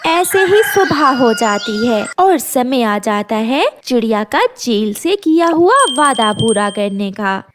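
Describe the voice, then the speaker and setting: A woman narrates a children's tale from a script. Her voice is high and her pace is slow and measured. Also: animated, noisy background. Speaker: story narrator.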